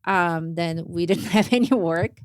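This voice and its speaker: A woman, a native Korean speaker in her thirties, talks in an easy, unhurried interview chat. Her voice sits a little low and sounds smooth and clean. The sound is dry and close, with no room around it. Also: dry recording. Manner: soft-spoken, many "like" fillers, non-native phrasing. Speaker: jazz drummer, interview guest.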